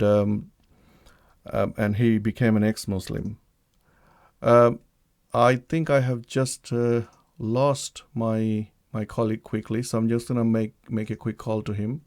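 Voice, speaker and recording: Guy from bangladesh doing a livestream intro, lowish voice, lots of ums and restarts. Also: a little mellow. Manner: hesitant, many ums and restarts. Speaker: livestream host. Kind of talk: live-streamed talk introduction. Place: home setup, living in the UK.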